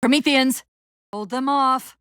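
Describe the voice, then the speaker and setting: An actress playing a female military AI companion in a video game, urgent and witty but fraying under strain, with crisp tactical delivery and dry sarcasm. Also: high-pitched, fairly even intonation, takes it slowly, fairly bright tone, no echo. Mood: urgent, commanding. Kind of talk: dramatic game voice acting.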